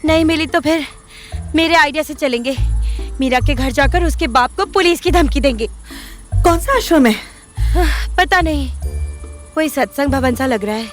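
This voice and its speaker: A woman's voice: high voice, moderate pace, soft background music, close to an everyday voice. A woman acting a practical, urgent young woman in an audio story drama. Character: young woman searching for a missing friend.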